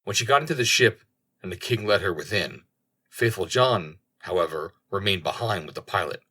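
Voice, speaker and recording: A man reading a fairy tale as an audiobook narrator, with deliberate, dramatic storytelling. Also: sits low, expressive, bright, forceful tone, breathy edge.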